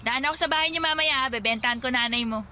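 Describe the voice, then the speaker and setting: An actress playing a cheerful, bossy young street vendor in a radio drama, teasing and preaching with a Filipino accent. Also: female voice, high voice, lightly acted. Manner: brisk, teasing, preachy banter.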